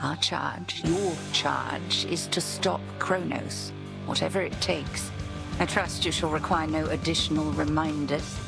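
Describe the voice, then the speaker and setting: A gravelly, stern ancient goddess. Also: female voice, sits low, fairly even intonation. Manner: slow, grave, archaic phrasing. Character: stern ancient goddess, commanding female mentor. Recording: game audio over loud music.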